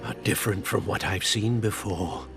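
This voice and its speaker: An actor playing a solemn, cautious elderly wizard mentor in a video game, with formal, deliberate speech. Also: male voice, on the low side, music in the background, natural voice. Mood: concerned. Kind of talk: video game cutscene acting.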